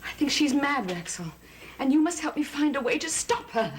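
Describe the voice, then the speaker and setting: An actress playing a fearful, earnest sister pleading urgently in a gothic soap opera. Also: female voice, high voice, very animated, moderate pace, slight background noise.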